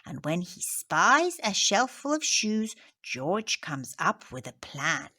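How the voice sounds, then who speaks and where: A woman teacher reading a picture book aloud to children, expressive and dropping into soft whispers on headings and asides. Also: on the low side, slow pace, husky. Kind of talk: children's story read-aloud.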